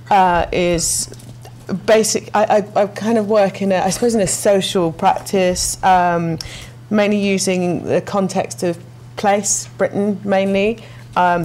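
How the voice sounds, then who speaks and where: A woman's voice: mid-range voice, darkish tone, slight background noise. A woman artist giving a casual panel talk at a lectern microphone in a university lecture room, hesitant and full of fillers.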